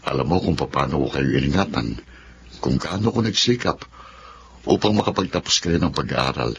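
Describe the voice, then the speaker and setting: A man's voice: a bass voice, expressive, moderate pace. A Filipino man playing a stern but caring middle-aged father in a radio drama, giving admonishing fatherly counsel. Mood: caring, firm. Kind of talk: Tagalog radio drama acting. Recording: dull lo-fi radio drama, noisy ambience.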